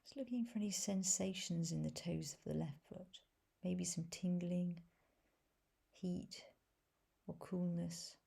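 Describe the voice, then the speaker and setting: Low, husky woman guiding meditation softly. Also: fairly even intonation. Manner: soft, gentle, breathy delivery with long pauses. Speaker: meditation teacher. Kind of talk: guided body scan meditation.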